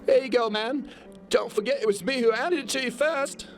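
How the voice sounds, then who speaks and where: A man's voice: very high voice, normal speed, soft background music. A man playing an eager, fawning underling in an absurdist audio drama. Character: high-voiced male underling, eager, fawning toady.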